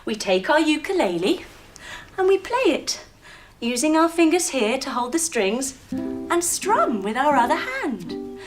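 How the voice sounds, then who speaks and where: A woman leading a children's yoga story in a playful, expressive, encouraging way, acting out the movements and sounds as she goes. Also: high voice, measured pace, faint music behind. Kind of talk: animated storytelling lesson for young children.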